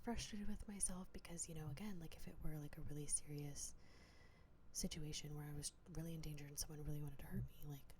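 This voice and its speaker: A young woman from the Southern United States telling a personal story in a soft, hesitant whisper, full of "like" fillers. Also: low-pitched, fairly even intonation, fast, slight background noise. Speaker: vlogger.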